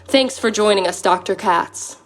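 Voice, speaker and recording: An actress playing a polished, probing broadcast interviewer in an audio drama, polite and professional. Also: female voice, medium pitch, normal speed, lightly acted.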